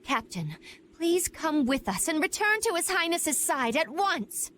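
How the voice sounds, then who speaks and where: A woman's voice: high voice, very animated, a little slow, fairly bright tone, slight background noise, exaggerated voice. An actress playing a proud, loyal young female knight who speaks in a formal, resentful, urgent way. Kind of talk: video game voice acting.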